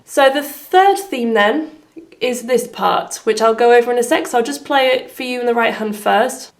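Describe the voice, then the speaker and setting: Slightly hoarse, higher-pitched woman teaching piano. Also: moderate pace. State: slightly hoarse from having been unwell. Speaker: piano teacher and video host. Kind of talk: step-by-step tutorial instruction. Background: piano playing.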